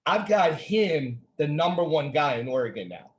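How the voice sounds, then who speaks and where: A man's voice: a little high, lively intonation, normal speed. A man with an American accent hosting a casual conversational interview, emphatic and given to repetition and rhetorical questions. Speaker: talk show host.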